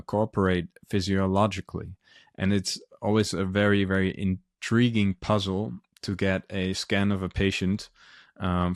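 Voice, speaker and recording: A man with a Dutch accent speaks in a low, level voice, pausing often on ums and uhs as he explains things earnestly. The recording is dry and close, with no room sound. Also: no room sound. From Netherlands. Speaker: podcast host, physician. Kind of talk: solo podcast monologue.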